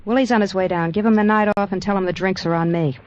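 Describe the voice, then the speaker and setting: A woman's voice: medium pitch, steady tone, fast talker, darkish tone, close to an everyday voice. An actress playing a cold, seductive female crime boss in a vintage radio noir drama, cool and imperious. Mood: cool, scheming. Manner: cool, imperious, clipped orders. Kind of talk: 1940s radio noir acting, with first-person narration. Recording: dull old radio recording, noisy.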